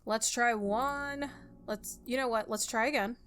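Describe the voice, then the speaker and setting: A woman hosting a game playthrough, reading a visual novel aloud and thinking out loud in an informal, expressive way. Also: on the high side, unhurried. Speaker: gaming video host. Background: music.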